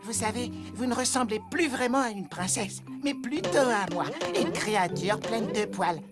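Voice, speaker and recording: Small furry adventurer for animated fairy tale, female actor: high, cheeky, boastful, music underneath. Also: normal speed, lightly acted. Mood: grumbling, teasing.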